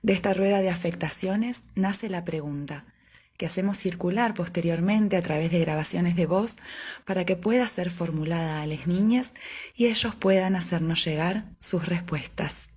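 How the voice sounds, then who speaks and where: A woman reading a prepared statement from her civic association, with measured, formal phrasing. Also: fairly low pitch, darkish tone, husky.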